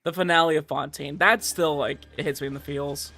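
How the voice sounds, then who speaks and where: A man's voice: fairly high pitch, steady tone, a little fast, creaky, sharp tone. A man chatting casually as a game streamer, informal and rambling as he talks to his viewers. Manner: informal, rambling, filler-heavy. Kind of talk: casual livestream chat.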